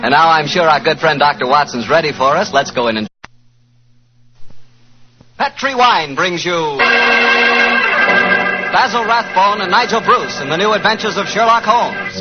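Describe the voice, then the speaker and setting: A man's voice: fairly high pitch, expressive, moderate pace, long pauses, loud music behind the voice. An American man working as a 1940s radio announcer, with a polished, emphatic sales delivery. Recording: muffled, hissy 1940s radio recording.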